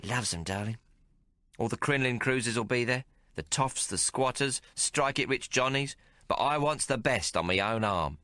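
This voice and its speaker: A man's voice: medium pitch, normal speed, bright, forceful tone, dry recording, clean studio sound, pitched above the performer's own voice, close to an everyday voice. A man reading aloud and voicing a coarse, fiery young female prostitute. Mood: brazen. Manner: coarse, bold, mocking.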